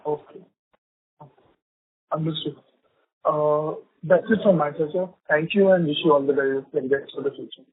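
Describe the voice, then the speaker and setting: Husky guy calling in on a tinny line, polite analyst questions. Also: on the high side, fairly even intonation, normal speed, pauses often. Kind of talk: earnings call questioning. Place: phone call into conference. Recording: narrowband phone line.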